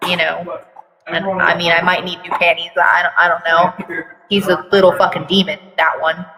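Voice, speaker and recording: A woman's voice: lowish voice, little pitch movement, moderate pace, pushed and bright. A woman giving a dramatic reading of fan fiction, breaking off for irreverent, foul-mouthed joking commentary. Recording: long echoing room reverb.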